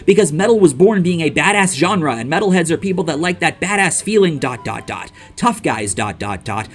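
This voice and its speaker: An exasperated macho metalhead, acted by a man, griping emphatically in a slightly high voice. Soft music sits behind this mock-dramatic comedy reading. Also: expressive, normal speed. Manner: reads punctuation aloud, emphatic.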